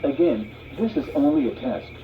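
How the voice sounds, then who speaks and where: A man's voice: fairly high pitch, sing-song, moderate pace, muffled recording, a little hiss or hum. A man's synthetic voice reading formal scripted emergency announcements through a weather radio speaker.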